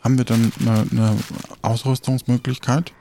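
A man describing scenes and outcomes in an improvised roleplay, halting and matter-of-fact. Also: mid-range voice, flat delivery, dark tone, a little hiss or hum. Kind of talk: improvised tabletop roleplay talk.

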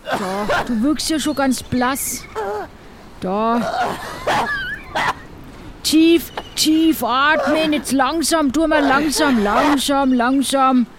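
A man's voice: very high-pitched, drawn-out words, pauses often. A man, improvising casually in character in a role-play. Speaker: player in an improvised audio-play adventure, as a ship's doctor. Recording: clear background noise.

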